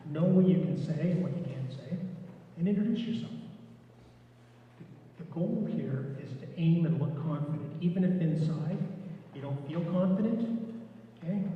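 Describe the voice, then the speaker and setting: A soft-spoken man giving an informal training talk to lay readers, relaxed, with frequent restarts. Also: fairly high pitch, slight background noise. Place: echoey church hall. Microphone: room mic from a distance. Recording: echoey, distant, dull room recording.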